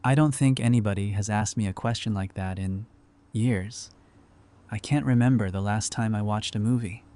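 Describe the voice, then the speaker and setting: Male actor as friendly, practical post-apocalyptic survivor for audio drama; fairly low pitch, dry casual banter. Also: moderate pace, mellow, close to an everyday voice. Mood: curious. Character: easygoing, wry male survivor, friendly and pragmatic. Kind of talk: fan audio drama dialogue.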